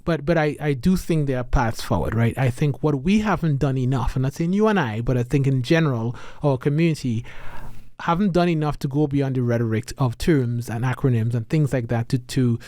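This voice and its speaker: A man with a Trinidadian accent speaks in an earnest, reflective podcast conversation. He often restarts his sentences and tags them with a questioning "right?". His voice sits at a middle pitch, recorded dry with no room echo. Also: fairly quick, dark tone.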